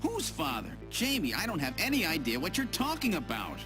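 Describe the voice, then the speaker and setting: A confused amnesiac agent in a video game drama, desperate to learn who he is. His pitch swings very widely, and music plays clearly behind him. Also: wildly swooping pitch, a little fast. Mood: bewildered, agitated. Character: bewildered amnesiac male special agent seeking his identity.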